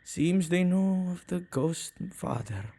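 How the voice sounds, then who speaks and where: A man's voice: high-pitched, lively intonation, creaky, warm tone, full character voice. A man playing a shy, awkward, kind-hearted witch who tends ghosts, speaking hesitantly in an ASMR roleplay. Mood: musing, reluctant. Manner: hesitant, halting, stammering. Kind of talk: ASMR boyfriend roleplay, acted monologue.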